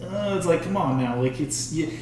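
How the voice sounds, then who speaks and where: A man's voice: on the high side, expressive, normal speed, darkish tone, slight background noise. A man hosting a music podcast, chatting in an informal, easygoing way with some slang. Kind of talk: casual chat. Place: studio with roomy echo. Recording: very echoey room, voices in background.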